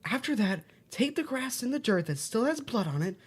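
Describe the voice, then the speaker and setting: High husky, perky children's-show host, acted by a woman. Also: very animated, moderate pace. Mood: cheerful, bossy. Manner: upbeat, instructive, reassuring. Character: cheerful, bossy children's-show host calmly giving grisly cleanup instructions. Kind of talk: audio drama, parody of educational kids' show.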